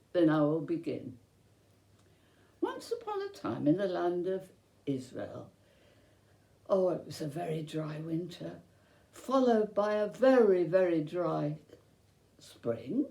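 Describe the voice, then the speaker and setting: A woman telling a children's bedtime story as host, speaking in a gentle, deliberate and expressive way for young listeners. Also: low voice. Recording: roomy, echoey sound.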